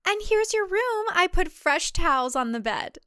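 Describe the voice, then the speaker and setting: A woman's voice: very high voice, sing-song, normal speed, pushed and bright, no room sound, studio quality, voice pushed up, exaggerated voice. A woman voicing a sweet, submissive, eerily cheerful young farm wife in audio fiction, with a chirpy, placating, singsong delivery. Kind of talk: audio fiction character dialogue.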